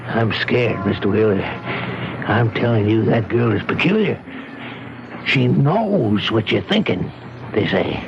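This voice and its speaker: An actor playing a frightened, guilty taxi driver in a radio drama, with a plain, folksy, anxious way of talking. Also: male voice, on the low side, expressive, measured pace. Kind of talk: 1970s radio drama acting. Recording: dull lo-fi radio broadcast with noise.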